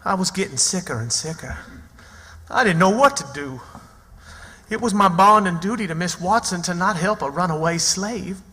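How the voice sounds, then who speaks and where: An earnest Southern country boy in a radio play. The voice is high and swings widely in pitch, with folksy, unschooled phrasing. It rings in a long reverb with music behind. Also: lively intonation, normal speed, pushed and bright. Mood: troubled, confiding. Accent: American Southern. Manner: rustic, folksy, ungrammatical speech. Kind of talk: radio drama acting.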